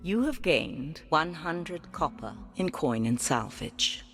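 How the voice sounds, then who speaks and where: A woman playing a calm game announcer, giving measured narration in an audio adventure game. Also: low-pitched, exaggerated voice. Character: calm female game announcer reporting rewards. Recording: long reverb, faint music behind.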